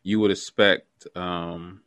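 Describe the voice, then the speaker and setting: A man's voice: sits low, flat delivery, slow, a bit bright, no echo. A man hosting an interview podcast in a casual, informal way, with plenty of conversational asides. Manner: informal, frequent "you know" asides. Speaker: podcast host.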